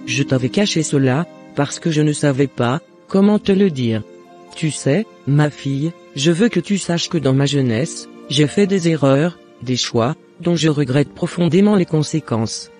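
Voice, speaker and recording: A man voicing a remorseful middle-aged mother in scripted cartoon dialogue, explaining herself in a measured, apologetic way. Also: fairly high pitch, a little mellow, soft background music, lightly acted.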